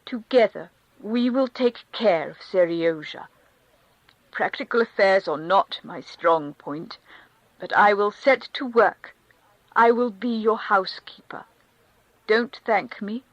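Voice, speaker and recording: Woman reading a devout countess, fervent, preaching, unhurried. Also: mid-range voice, animated, dry recording, lo-fi sound. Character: devout rapturous aristocratic countess. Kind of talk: audiobook character reading.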